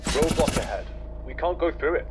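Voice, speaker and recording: An actor playing a frantic soldier under fire in an audio drama, calling out urgently and in alarm. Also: male voice, a little high, moderate pace, close to an everyday voice. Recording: distant voice under loud vehicle and gunfire noise.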